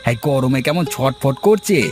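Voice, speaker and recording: A man's voice: mid-range voice, expressive, normal speed, music in the background, lightly acted. A man voicing a poor, down-to-earth farmer husband in a cartoon, teasing and lecturing in a folksy way. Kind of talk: cartoon dialogue acting.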